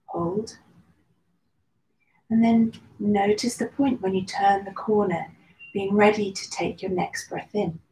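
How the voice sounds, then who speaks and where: Woman guiding a breathing meditation, soft mellow voice, calm and slow, echoey room. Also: mid-range voice. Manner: slow, soothing guidance with long pauses. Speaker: meditation and yoga teacher. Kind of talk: guided meditation. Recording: roomy echo with faint background noise.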